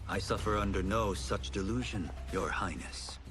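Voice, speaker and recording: A man's voice: lowish voice, normal speed, close to an everyday voice. A man playing an earnest young martial arts champion in a video game, polite and sincere in his speech. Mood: determined. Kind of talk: scripted video game cutscene acting. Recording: loud music over the voice.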